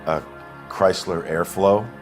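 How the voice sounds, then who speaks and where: Deep-voiced, anxious murder suspect. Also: male voice, steady tone, takes it slowly, faint music behind. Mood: defensive. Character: anxious, defensive working-class mechanic, male murder suspect. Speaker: video game voice actor. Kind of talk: dramatic interrogation acting.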